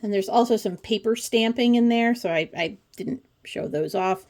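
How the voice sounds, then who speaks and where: A woman's voice: fairly high pitch, moderate pace, a little mellow. Chatty woman with wry asides. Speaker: craft channel host. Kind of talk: casual commentary on a pattern book flip-through.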